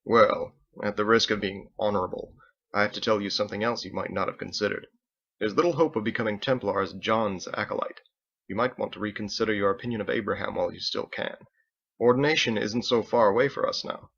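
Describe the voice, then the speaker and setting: A man's voice: fairly low pitch, fairly quick, vocal fry, a bit bright, lo-fi sound. Man acting a smug, needling young student. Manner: smug, teasing. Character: sly, needling young male student, smirking rival.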